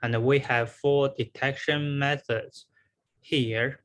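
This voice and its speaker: A man giving a scripted academic research presentation in a halting way, with repeated words and pauses. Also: medium pitch, fairly even intonation.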